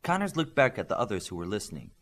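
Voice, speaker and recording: A man's voice: lowish voice, big pitch swings, quick pace, fairly bright tone. A man narrating scripted fiction as an audiobook reader.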